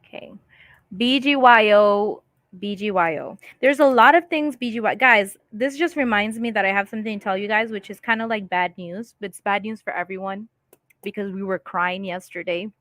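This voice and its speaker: A woman's voice: mid-range voice, normal speed, fairly bright tone, no room sound. A woman hosting a casual live stream from home, chatting and reading viewer comments aloud with frequent laughs. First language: English.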